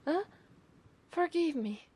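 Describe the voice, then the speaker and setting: A woman's voice: very high voice, expressive, warm tone, exaggerated voice. A woman with an Italian accent playing an obsessive, seductive mermaid in a teasing ASMR roleplay, sometimes slipping into whispers. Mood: teasing, gleeful. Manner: slow, teasing, lapsing into whispers.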